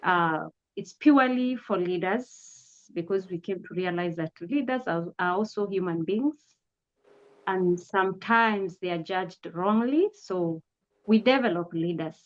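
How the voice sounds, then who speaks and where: A woman in her forties, a pastor, speaking earnestly and gratefully in an interview, pausing often on "uh". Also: fairly low pitch. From Kenya. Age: 40s. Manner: earnest, grateful, measured with frequent "uh" pauses. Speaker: pastor and guest. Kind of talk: testimony interview. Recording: dull lo-fi audio.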